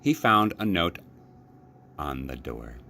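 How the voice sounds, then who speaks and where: A man reading a children's storybook aloud as a library storyteller, with expressive, deliberate phrasing. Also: extremely low pitch.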